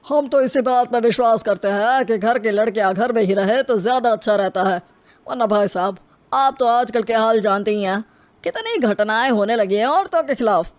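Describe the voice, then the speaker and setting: A woman voicing a conservative, measured middle-aged family man in a cartoon story. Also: on the high side, lightly acted. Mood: stern, opinionated.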